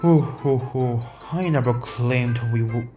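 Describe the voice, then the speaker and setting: An actor voicing a jolly old cookie sage who talks in a calm, measured way with unhurried chuckles, in an animated fantasy fandub. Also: mid-range voice, natural voice. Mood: calm, amused. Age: older. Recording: voice over background music.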